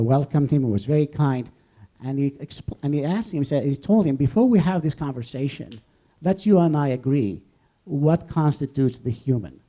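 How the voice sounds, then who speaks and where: A man speaking off the cuff as a professor in an academic discussion, discursive and halting, rephrasing as he goes. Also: medium pitch, warm tone. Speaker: professor on a debate panel. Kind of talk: extemporaneous academic discussion before an audience.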